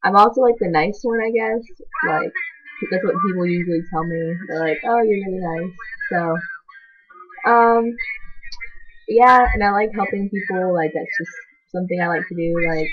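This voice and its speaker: A woman's voice: on the low side, fairly even intonation, moderate pace, pauses often, muffled recording, faint music behind. A teenage girl chatting to camera about herself in a rambling way, filling her talk with "like". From half Chilean, half American. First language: Spanish. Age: teens. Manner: chatty, rambling, constant "like" filler. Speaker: channel co-host introducing herself. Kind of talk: casual talking-to-camera vlog.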